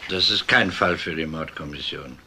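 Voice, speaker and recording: An actor playing a calm police detective who asks short, probing questions in a crime radio drama. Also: male voice, a bass voice, normal speed, a little hiss or hum, heavily acted. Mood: businesslike. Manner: terse, questioning.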